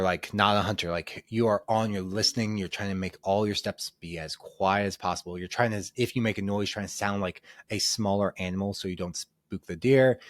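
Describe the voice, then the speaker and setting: A man talks through a solo podcast in a loose, rambling way, full of ums and restarts. His lowish voice sounds a little nasal and stuffed up from a cold. The sound is dry and close. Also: no echo. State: congested from a cold. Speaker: podcast host. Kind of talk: casual solo podcast monologue.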